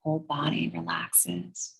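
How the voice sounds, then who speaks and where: A woman leading a guided meditation in a soothing, measured, breathy way, leaving long pauses between phrases. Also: on the low side, level tone, low-quality recording. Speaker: guided meditation and yoga teacher.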